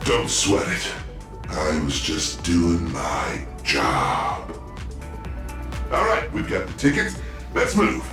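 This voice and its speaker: Breezy patrol guide, lively voice, slow delivery, roomy distant sound with music. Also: male voice, deep voice, full character voice. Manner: laid-back, breezy. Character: easygoing young male patrol guide. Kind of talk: game voice acting.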